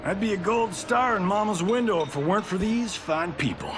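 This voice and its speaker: An actor playing a cocky, wisecracking American army officer from the Second World War, brash and slangy in a video game. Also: male voice, sits high, fairly quick, natural voice. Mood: brash, jaunty. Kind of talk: cinematic video game acting. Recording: game cutscene with music behind.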